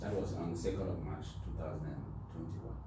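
A man from Cameroon, interviewing eagerly with quick interjections. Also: sits low, steady tone. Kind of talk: friendly interview. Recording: echoey room, distant mic, faint noise.

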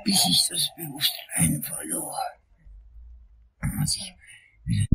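An actor playing a fussy, shaken older lawyer in a crime radio play, with a breathy, raspy, halting, long-winded delivery. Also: male voice, a little high, lively intonation, sharp tone, in a whisper, heavily acted. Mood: agitated, horrified. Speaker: client, prosecutor character.

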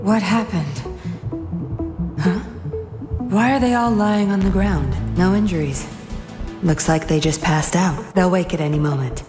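A woman's voice: on the low side, measured pace, pauses often, close to an everyday voice. An actress playing a determined young female undercover agent in a video game. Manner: earnest, resolute. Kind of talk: game voice acting. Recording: game audio over music.

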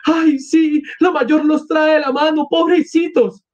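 A man voicing alarmed, pious bystanders in a storybook reading, with shrill exclamations. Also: fairly even intonation, measured pace, darkish tone, lo-fi sound. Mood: alarmed, pitying.

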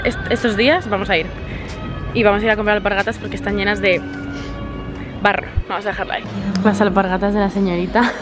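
A young woman in her twenties chats breezily to a camera held at arm's length, bubbly and full of little fillers. Her pitch sits mid-range for a woman, her nose a bit blocked by allergies. Soft music plays faintly underneath. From Spain. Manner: chatty and enthusiastic, full of fillers and little diminutives. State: stuffy from allergies. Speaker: vlogger. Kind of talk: casual vlog chat to camera. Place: hotel room and outdoors in a city. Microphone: handheld camera at arm's length.

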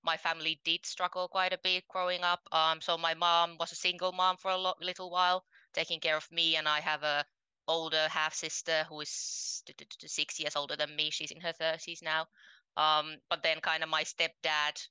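A woman's voice: deep voice, flat delivery, moderate pace, sharp tone, clear, resonant tone, dry recording, poor audio. A woman in her twenties from Finland, a podcast guest speaking candidly in a casual interview over a headset mic from home, with frequent ums. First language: Finnish. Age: 20s. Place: home room, indoors.

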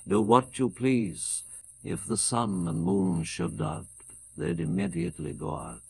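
An older man with a British accent reciting poetry in a solemn, measured, declamatory way. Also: medium pitch, gravelly, a little mellow. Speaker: poetry reader.

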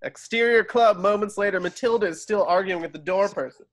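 Higher-pitched man reading stage directions. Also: fairly quick, fairly bright tone. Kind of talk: scripted narration, amateur table read. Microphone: video call.

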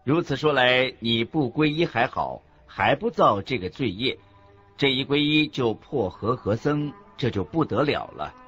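A man playing an earnest Buddhist master who teaches in a measured, didactic way in a scripted radio drama. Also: mid-range voice, lively intonation, a bit bright, husky. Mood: earnest, instructive. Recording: dull lo-fi audio with background music. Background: music.

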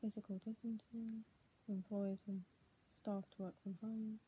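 A young woman vlogger with a husky, muffled voice, speaking at home into a tablet. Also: mid-range voice, flat delivery, moderate pace, warm tone, a little hiss or hum. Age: young adult. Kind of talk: casual home vlog, partly reading aloud. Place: small room at home, indoors. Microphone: tablet built-in mic at arm's length.

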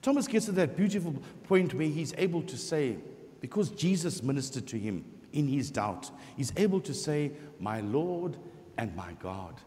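Middle-aged priest preaching in a reverberant church. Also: male voice, fairly high pitch. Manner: expressive preaching, voicing scripture quotes, slow emphatic pauses. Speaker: parish priest. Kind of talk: sermon. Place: large church sanctuary before the altar.

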